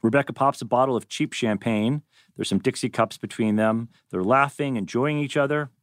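A man's voice: medium pitch, normal speed, dry recording, clean studio sound. A man reading stage directions as the narrator of a scripted table read.

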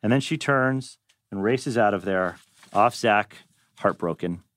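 A man narrating stage directions from a script in an animated way. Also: lowish voice, unhurried, dry recording.

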